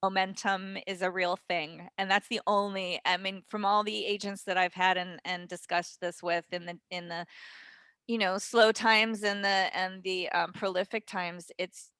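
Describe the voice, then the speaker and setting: A woman's voice: mid-range voice, steady tone, moderate pace, pushed and bright, clear, resonant tone, dry recording. A middle-aged woman talking casually as an interview guest, in a frank, joking and emphatic manner. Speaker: guest, voice actor and dialect teacher.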